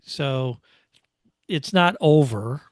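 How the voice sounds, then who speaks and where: A man's voice: mid-range voice, lively intonation, very slow, no echo, clean studio sound. A man co-hosting a sports podcast, recapping events in a casual, conversational way with tag questions. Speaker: motorsport podcast co-host. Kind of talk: casual race recap discussion.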